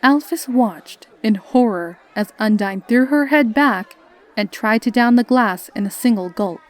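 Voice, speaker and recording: A woman reading scripted story narration. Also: a little high.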